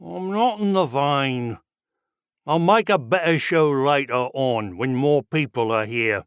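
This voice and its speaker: An actor playing a gloomy, hammy performer who poses as a jealous rogue in an audio play, with a theatrical manner. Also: male voice, mid-range voice, measured pace, smooth, dry recording, clean studio sound, natural voice. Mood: aloof.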